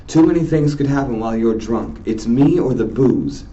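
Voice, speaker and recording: A man's voice: mid-range voice, expressive, normal speed, dark tone, dull audio, pitched above the performer's own voice, close to an everyday voice. A man voicing an upset teenage girl from a novel, firm, pleading and emphatic. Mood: indignant. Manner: emphatic, insistent.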